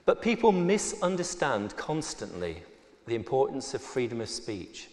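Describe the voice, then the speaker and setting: A man argues his side of a formal debate with firm, emphatic conviction. His voice sits at an ordinary male pitch. It rings out with a long reverb through a large auditorium. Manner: forceful, argumentative, emphatic. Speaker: debate panelist. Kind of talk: formal debate speech and argument.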